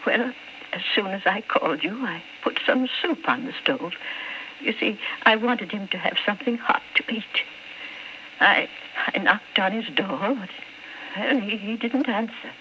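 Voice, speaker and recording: A woman's voice: mid-range voice, measured pace, natural voice. An actress playing a kindly, fretful landlady in old-time radio drama style, hesitant and deferential as she speaks. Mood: shaken, sorrowful. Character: kindly, fretful female rooming-house landlady. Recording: muffled, noisy vintage radio recording.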